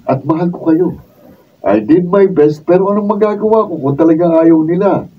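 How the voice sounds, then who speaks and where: A man's voice: sits high, lively intonation, moderate pace, husky, lo-fi sound. A man playing a frank, defensive grown son in a radio drama, pleading, with a Filipino accent. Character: defensive, frank adult younger son, exasperated but loyal. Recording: distant, thin, noisy room recording.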